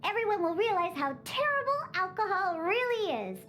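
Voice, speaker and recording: Young cat girl, very high voice, swooping intonation, bossy, indignant, cutesy game character, music behind. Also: sing-song, moderate pace, a bit bright, exaggerated voice. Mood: indignant, determined. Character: feisty young cat-girl bartender who despises alcohol. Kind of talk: video game character acting.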